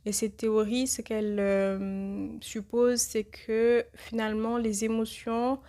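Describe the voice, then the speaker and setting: A woman with a cold hosting a reflective religious podcast, speaking thoughtfully and pausing often with fillers. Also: on the high side, level tone, warm tone. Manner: hesitant, many filler pauses. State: has a cold. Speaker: podcast host.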